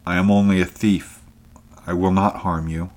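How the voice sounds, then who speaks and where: A man's voice: deep voice, little pitch movement, moderate pace, a little mellow, lightly acted. A man reading an audiobook voices a young barbarian thief who speaks curtly and bluntly. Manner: curt, plain-spoken.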